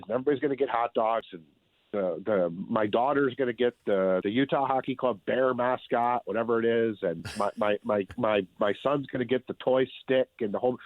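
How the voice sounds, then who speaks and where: A man's voice: mid-range voice, no echo. A man speaking as a sports writer guest, giving conversational sports commentary in an emphatic way, repeating phrases for effect. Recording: thin phone-like line.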